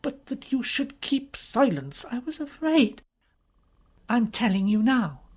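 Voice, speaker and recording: A woman's voice: a little high, very animated, slow, a little mellow, breathy edge, pitched above the performer's own voice, exaggerated voice. A woman voicing a distressed young aristocratic woman who speaks in a faltering, pleading way. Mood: defiant.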